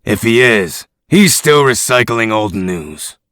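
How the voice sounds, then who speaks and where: A man playing a gruff, irritable, cynical tough guy in a comic dub, with sardonic grumbling. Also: on the low side, lively intonation, slow, fairly bright tone, no echo, clean studio sound. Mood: irritated, sardonic. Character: gruff, cynical, irritable male detective type.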